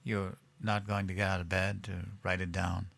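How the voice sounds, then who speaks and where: An actor playing a childish, guileless older American president and doting husband in an audio sitcom, earnest and excitable. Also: male voice, low voice, normal speed, gravelly. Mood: childlike, affectionate.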